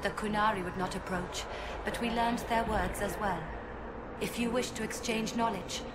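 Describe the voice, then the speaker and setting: A woman playing an ancient, courteous spirit of learning in a video game, speaking formally in halting, fragmented phrases. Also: medium pitch, fairly even intonation, natural voice. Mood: serene. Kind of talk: cinematic video game dialogue. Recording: echoing, with loud ambient noise.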